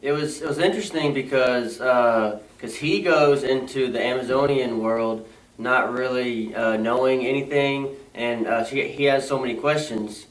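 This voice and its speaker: A young man co-hosting a casual movie review, talking haltingly with many fillers and restarts. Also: mid-range voice. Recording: echoey room, mic far off.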